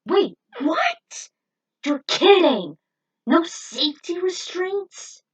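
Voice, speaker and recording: A woman voicing a brash, excitable young male rookie racer in a fan-fiction story. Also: extremely high pitch, very slow, voice pushed up, heavily acted. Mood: incredulous. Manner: excitable, incredulous, grumbling.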